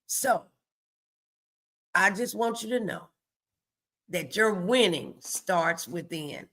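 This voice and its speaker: A woman's voice: lowish voice, lively intonation, slow pace, fairly bright tone. A woman hosting a faith-based motivational livestream, speaking emphatically and repeating key phrases to exhort her listeners.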